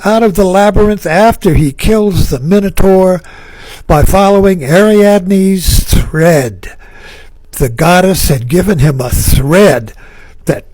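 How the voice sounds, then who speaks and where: A man giving a slow, reflective spiritual talk, musing aloud and repeating phrases for emphasis. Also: high voice, darkish tone, dry recording, rough recording. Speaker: spiritual speaker. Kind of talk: reflective spoken talk on myth.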